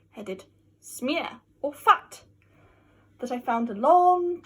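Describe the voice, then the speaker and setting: A woman with a high, husky voice performs a dramatic reading of classic literature. Her delivery is theatrical and mock-scholarly, with lively, sweeping intonation and strong emphasis. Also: poor audio. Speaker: amateur reader.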